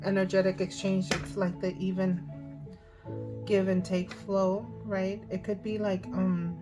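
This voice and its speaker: A woman with a hoarse voice giving a casual tarot card reading, thinking aloud as she goes. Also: medium pitch, little pitch movement, unhurried. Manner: chatty, thinking aloud with fillers. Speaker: tarot reader. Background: music.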